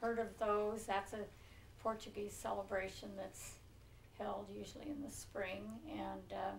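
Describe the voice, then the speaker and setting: An older American woman in her seventies recalls the past in an interview. Her voice has a slight gravelly creak, and she pauses as she goes. She sounds a little away from the mic in a roomy living room. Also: medium pitch, monotone. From Pinole, California. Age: 70s. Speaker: oral history interviewee. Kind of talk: reminiscing in a relaxed interview. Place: living room, on a leather couch. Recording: roomy, distant mic with faint noise.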